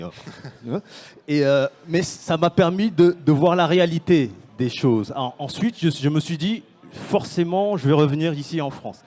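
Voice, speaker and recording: A man's voice: mid-range voice, a little mellow. A man from Senegal, a startup founder, speaking on a conference panel with many fillers and restarts. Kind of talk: panel talk.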